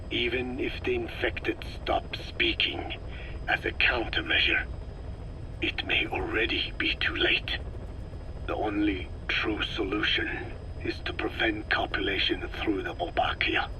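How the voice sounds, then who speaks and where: An actor playing a grave, cryptic old scientist in a video game, with a breathy, raspy voice and formal, deliberate phrasing. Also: male voice, on the low side, lightly acted. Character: frail, ancient scientist, grave and cryptic elder. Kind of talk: dramatic video game voice acting, expository. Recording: clearly noisy taped field recording.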